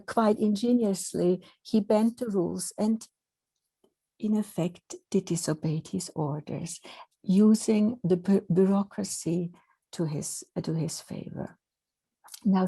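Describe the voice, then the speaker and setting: Woman lecturer, Swiss accent, dry close sound, measured talk over slides. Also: medium pitch, warm tone, no room sound. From Switzerland. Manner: measured, with frequent restarts and fillers. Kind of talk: presentation with slides.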